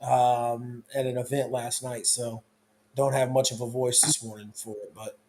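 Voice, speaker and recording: Hoarse young guy hosting a sports show, loose chatty delivery, lots of restarts, middle-pitch voice. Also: flat delivery, moderate pace, dark tone. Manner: loose, conversational, with many restarts. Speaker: sports talk show host. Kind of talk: casual sports talk.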